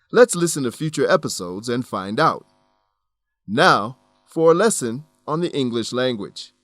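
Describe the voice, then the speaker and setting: Guy narrating an english learning radio show, scripted, medium pitch, dry studio sound. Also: fairly bright tone, no echo. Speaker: narrator and English lesson presenter.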